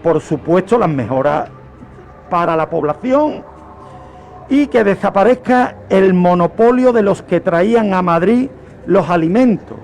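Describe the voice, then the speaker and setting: A man's voice: high voice, expressive, measured pace, a little mellow, slight background noise. A man with an accent from Spain telling history on a radio talk show, emphatic and repeating phrases for effect. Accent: Spanish from Spain. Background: faint music.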